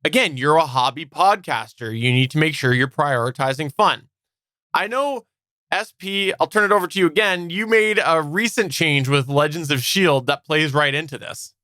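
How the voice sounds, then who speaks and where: A man's voice: a little high, moderate pace, bright, forceful tone, no echo. A man co-hosting a podcast, explaining points in a structured, semi-scripted way. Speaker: podcast co-host.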